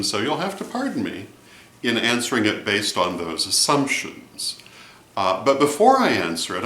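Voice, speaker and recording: A man giving advice in a vlog monologue, speaking in a measured, deliberate way with emphatic phrasing. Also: lowish voice, bright, forceful tone. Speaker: vlog host and religious advisor. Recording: roomy, echoey sound.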